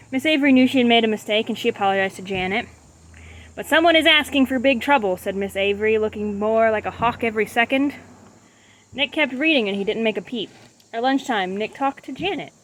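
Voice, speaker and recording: A woman with a fairly high voice reads a children's story aloud as its narrator. There is faint ambient noise behind her. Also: poor audio. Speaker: storybook reader. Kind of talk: children's book read-aloud.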